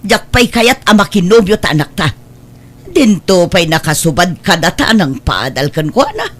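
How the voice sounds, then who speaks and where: A woman's voice: lowish voice, sing-song, normal speed. A woman acting a nagging, strict middle-aged wife and mother in an Ilocano comedy drama, with an Ilocano accent and a scolding manner. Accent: Ilocano, northern Philippines.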